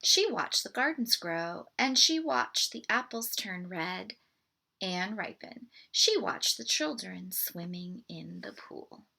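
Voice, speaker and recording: A woman reads a picture book aloud for children. Her voice is bright but husky, with a creaky edge. She is expressive and deliberate, as a read-aloud presenter addressing young listeners. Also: medium pitch, poor audio.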